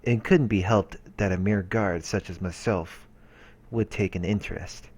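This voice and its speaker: A man with a low voice reads visual novel narration aloud as a let's player, dropping in casual asides. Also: steady tone, unhurried, darkish tone. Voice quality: low-pitched. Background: game music.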